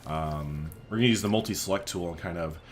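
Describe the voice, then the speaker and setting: Casting: male gaming host, lowish pitch, loose off-the-cuff play-along chat, some noise behind. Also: a little fast. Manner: rambling, thinking aloud while playing. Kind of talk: casual game commentary.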